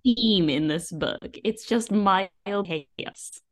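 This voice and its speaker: A woman's voice: medium pitch, very slow. A woman co-hosting a book podcast in a casual chat, giving blunt, jokey opinions.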